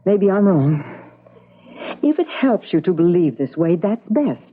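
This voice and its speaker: An actress playing a warm, upbeat sister who coaxes and consoles in an old-time radio drama. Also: female voice, lowish voice, very animated, unhurried, husky, exaggerated voice. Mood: soft, consoling. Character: warm, coaxing, upbeat sister of a grieving widow. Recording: noisy narrow-band vintage radio recording.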